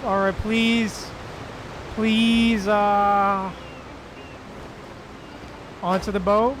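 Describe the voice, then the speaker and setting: A man chatting casually as he livestreams a game, with hesitant, drawn-out phrasing. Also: sits high, level tone, noisy background. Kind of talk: casual livestream chat while playing. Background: game music.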